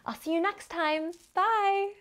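A woman's voice: very high voice, fairly even intonation, slow pace, a bit bright. A woman in her thirties presenting a children's show, talking straight to young viewers. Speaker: children's channel host. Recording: music behind the voice.

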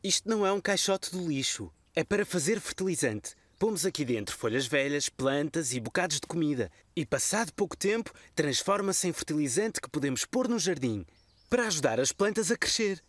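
Lively-voiced cartoon dad, European Portuguese. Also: male voice, fairly high pitch, brisk, dry recording. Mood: patient, explaining. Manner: explaining simply to a child. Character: patient, gently playful young father. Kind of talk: children's cartoon dub.

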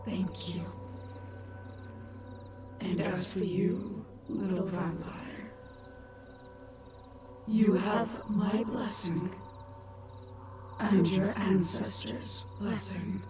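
An ancient female tree spirit, voiced by a woman, speaks in a hushed, unhurried whisper. Her words come very slowly and solemnly. Loud music plays beneath the whole recording. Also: mid-range voice, steady tone. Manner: slow, solemn and blessing. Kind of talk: audio roleplay acting. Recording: loud music bed behind the voice.

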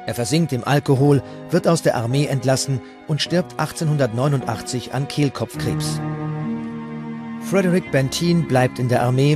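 Male documentary narrator, mid-range voice, scripted delivery, clear music bed underneath. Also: medium pitch. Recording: music playing behind the voice.

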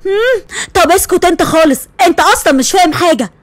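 A woman's voice: very high voice, expressive, fast talker, no echo. A woman voicing a bossy, scolding middle-aged mother with an Egyptian accent. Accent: Egyptian Arabic.

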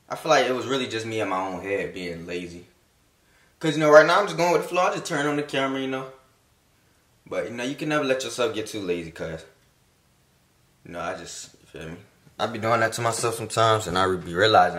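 A man's voice: medium pitch, fairly bright tone. A young man, talking casually to his phone camera outdoors in a rambling, slangy, encouraging way. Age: young adult. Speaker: vlogger. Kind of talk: casual vlog talk to camera.

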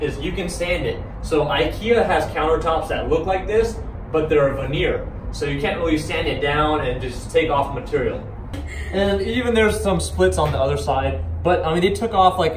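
Echoey, higher-voiced young man vlogging. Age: 20s. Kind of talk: casual talk to camera. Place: inside an empty box truck. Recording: echoey metal space, background noise.

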